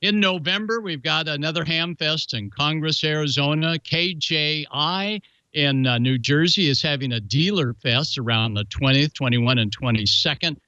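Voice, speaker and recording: Man, show host, forceful bright voice, folksy announcer, slightly high pitch, dull lo-fi sound. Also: normal speed, clean tone, no echo. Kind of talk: presenting amateur radio club news.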